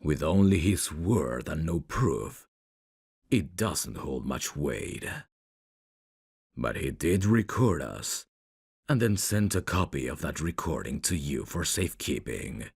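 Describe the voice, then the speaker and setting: Guy acting as a menacing mafia boss, super low gravelly voice, teasing and taunting, asmr roleplay. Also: very animated, no echo, studio quality, full character voice. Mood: calm, menacing. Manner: slow, deliberate, taunting. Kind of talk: ASMR roleplay audio drama.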